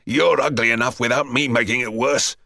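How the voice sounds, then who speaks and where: A small schoolboy who has turned hard and dangerous, voiced by a male narrator. His gritty growl sits at a medium pitch, hard-edged and threatening, in a dry storybook recording. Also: steady tone, a little fast, creaky, pushed and bright, no echo. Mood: cold defiance.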